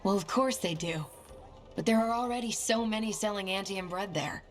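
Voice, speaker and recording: A woman's voice: medium pitch, normal speed, faint music behind, natural voice. A woman playing a crabby, grudging baker in a video game, blunt and grumbling. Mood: irritated. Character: crabby, grudging female bread maker. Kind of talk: naturalistic video game acting.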